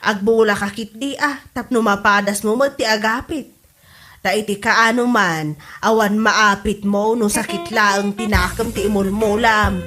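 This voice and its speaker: A woman's voice: medium pitch, fairly even intonation, a little slow, a bit bright. An actress with an Ilocano accent playing a motherly village storekeeper in a comedy drama podcast. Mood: scolding. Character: motherly village storekeeper, scolding yet obliging. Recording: music under the voice. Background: music.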